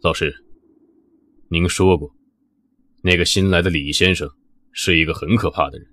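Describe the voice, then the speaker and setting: An actor playing a loyal young disciple who asks quick, eager questions in an audio drama. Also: male voice, low-pitched, fairly even intonation, fairly bright tone.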